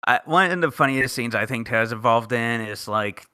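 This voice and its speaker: A man chatting casually as a podcast panelist, earnest and halting. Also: medium pitch, animated, bright, forceful tone, clean tone, no echo, studio quality. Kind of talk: casual group chat about a game fandub.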